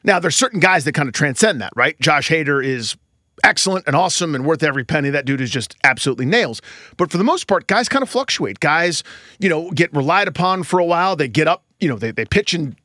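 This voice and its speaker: Animated, bright-voiced man talking baseball. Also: on the high side, fast talker, no room sound, clean studio sound. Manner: emphatic, colloquial, hyperbolic. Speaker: sports podcast co-host. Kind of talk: casual baseball discussion.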